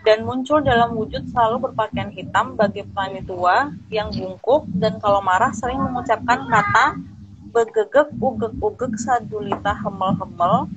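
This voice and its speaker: A woman host reading slide text aloud in a steady, formal way during an online talk. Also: a little high, moderate pace, lo-fi sound, background noise. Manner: steady formal read-through, brief acknowledgements.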